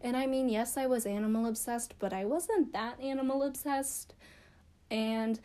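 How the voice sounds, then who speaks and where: A woman's voice: on the high side, normal speed, mellow. A young woman chatting casually to camera about her childhood, rambling and self-deprecating with lots of fillers. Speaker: vlogger.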